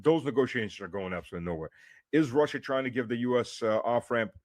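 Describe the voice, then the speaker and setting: A man's voice: mid-range voice, brisk, over a noisy crowd. A man speaking as an interview guest, giving live political commentary.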